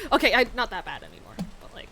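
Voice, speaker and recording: Bright-voiced woman streamer, chatty. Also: fairly high pitch, wildly swooping pitch, moderate pace, creaky, slight background noise, low-quality recording. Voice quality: slight lisp. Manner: rambling, lots of fillers and giggles. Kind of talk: casual chat while gaming.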